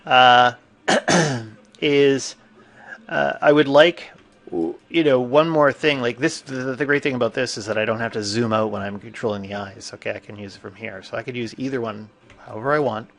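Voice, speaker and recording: Guy with a cold walking thru a 3d rigging tutorial, a bit gravelly and stuffy, lots of ums. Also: medium pitch, fairly bright tone. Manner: casual, thinking aloud with frequent fillers. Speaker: 3D animation instructor. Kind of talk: screen-recorded software tutorial.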